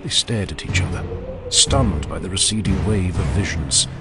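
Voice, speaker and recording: A man narrating a dramatic fantasy audiobook in a grave, deliberate manner. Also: deep voice, lively intonation, noisy background.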